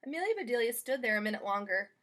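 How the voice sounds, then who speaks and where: Female storybook reader, high register, highly expressive melody, talks straight to children. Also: racing. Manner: animated, addresses young listeners directly. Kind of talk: expressive children's read-aloud narration.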